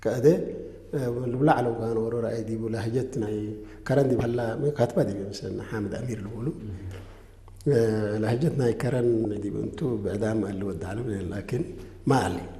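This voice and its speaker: A man answering interview questions in a conversational way, talking quickly and pausing on drawn-out fillers. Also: mid-range voice, mellow. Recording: roomy echo with long reverb.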